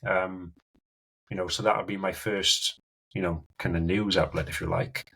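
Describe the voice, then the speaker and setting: Husky Welsh man, chatty storyteller. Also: low-pitched, steady tone, normal speed, bright, forceful tone, low-quality recording. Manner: chatty storyteller, often laughs, many "you know"s and "um"s. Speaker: guest endurance athlete. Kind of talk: casual podcast chat.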